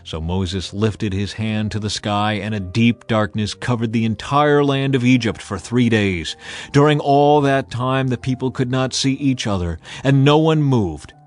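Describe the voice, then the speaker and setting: A man's voice: medium pitch, unhurried, faint music behind. A man narrating a dramatized scripture audiobook in a solemn, emphatic storytelling manner.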